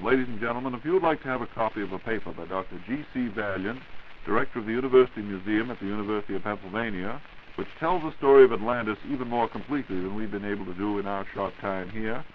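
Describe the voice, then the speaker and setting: A man hosts in a polished, courteous 1940s announcer style. His voice is fairly low and he talks briskly. The old radio recording is dull, with a light hiss. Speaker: radio host.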